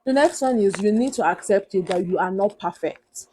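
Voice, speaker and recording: Loud-voiced young Nigerian woman giving advice. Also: medium pitch, expressive, moderate pace, slight background noise. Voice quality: loud, big voice. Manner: earnest, emphatic, repeats points for stress. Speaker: vlogger. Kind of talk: motivational advice talk to camera.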